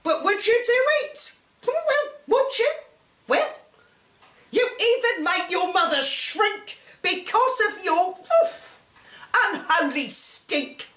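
Shrill, hot-tempered storybook mother acted by a woman. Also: expressive, slow, fairly bright tone, roomy sound, exaggerated voice. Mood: scornful. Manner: shrill, exclamatory, exasperated. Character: scolding, hot-tempered, greedy mother from a fairy-tale. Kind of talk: theatrical storybook reading.

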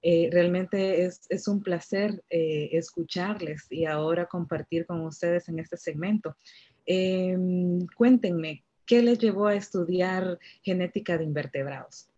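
Female moderator, lowish mellow tone, courteous and even-paced. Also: lo-fi sound. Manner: polite, measured. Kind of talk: moderating a virtual panel. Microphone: video call.

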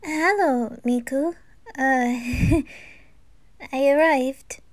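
Gentle, bashful anime sailor boy played by a woman, highish and unhurried, caring and hesitant. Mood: friendly, bashful. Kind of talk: amateur anime audio roleplay.

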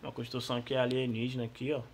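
A Brazilian man who is losing his voice, giving casual, slangy, excitable gameplay commentary. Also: mid-range voice, very fast, slight background noise. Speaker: gaming YouTuber.

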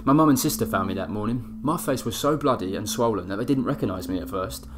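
An actor playing a rough, cocky young bare-knuckle fighter, telling his story in chatty, matey slang. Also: on the low side, quick pace, natural voice. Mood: grim. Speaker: actor in an audio drama. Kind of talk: chatty first-person dramatic storytelling.

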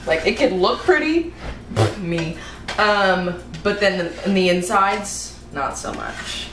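A woman's voice: medium pitch, lively intonation, takes it slowly. Goofy young woman in an echoey kitchen. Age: young adult. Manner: silly, self-mocking, loud outbursts. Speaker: vlogger. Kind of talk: goofy cooking vlog. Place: home kitchen at night. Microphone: camera across the kitchen. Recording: echoey kitchen, audible noise.

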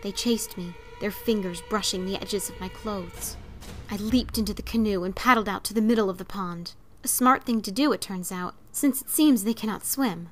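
Female narrator for spooky short fiction, medium pitch, dramatic storytelling over soft background music. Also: moderate pace. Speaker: story reader. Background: faint music.

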